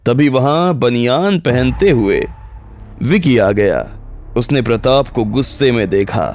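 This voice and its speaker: A man's voice: medium pitch, slow pace, a little mellow. A man narrating a scripted audio story in the role of storyteller. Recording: background music under the voice.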